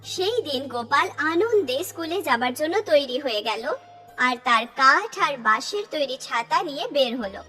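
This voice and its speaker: Woman narrator for a cartoon story, high pitched, music playing behind her. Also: animated, a little slow, sharp tone. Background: background music.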